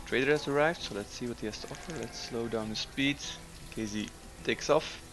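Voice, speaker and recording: Man musing through gameplay. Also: mid-range voice. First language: Dutch. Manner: thinking aloud, unhurried, frequent fillers. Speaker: gameplay commentator. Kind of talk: casual let's-play commentary.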